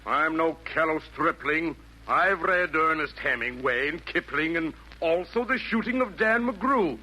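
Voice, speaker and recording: A man's voice: on the high side, animated, measured pace, sharp tone, heavily acted. An actor playing a vain, scheming male villain from hell, delivering pompous, florid rhymed verse in theatrical 1940s radio style. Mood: boastful, menacing. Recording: noisy vintage 1940s radio broadcast.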